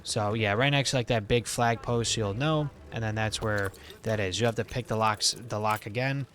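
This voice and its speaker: A man's voice: lowish voice, steady tone, normal speed, fairly bright tone. A man giving a casual game walkthrough, explaining things informally as the host of a guide.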